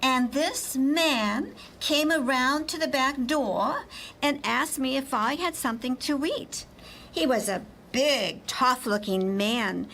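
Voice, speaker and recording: An actress playing a timid, frightened wife who speaks hesitantly and plaintively in a pulp radio drama. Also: female voice, high-pitched, fairly bright tone, slight background noise, heavily acted. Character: timid, frightened wife in gaudy house clothes.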